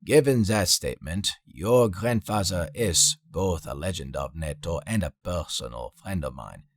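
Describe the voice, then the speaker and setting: Audiobook officer, male reader playing formal senior soldier, low pitch, slightly husky, measured. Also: lively intonation, dry recording, clean studio sound, exaggerated voice. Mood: formal, respectful.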